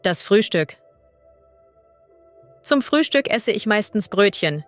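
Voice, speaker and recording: A woman as a language teacher reading idioms and vocabulary slowly and clearly. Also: medium pitch, big pitch swings, no room sound, faint music behind. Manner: slow, clear and deliberate.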